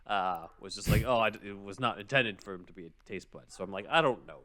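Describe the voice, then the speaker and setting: Low-voiced man chatting, dry close sound. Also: fast, a bit bright, dry recording. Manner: informal, explanatory. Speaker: podcast co-host. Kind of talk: casual podcast chat summarizing a script.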